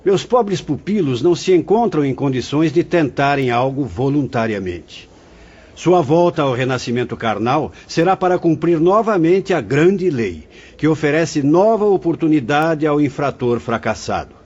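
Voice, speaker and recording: Man as solemn spirit mentor, husky and gentle, muffled lo-fi audio drama. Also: a little high, moderate pace. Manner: calm, solemn, instructive. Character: venerable male spirit director, gentle and paternal. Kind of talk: radio drama acting. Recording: dull lo-fi audio.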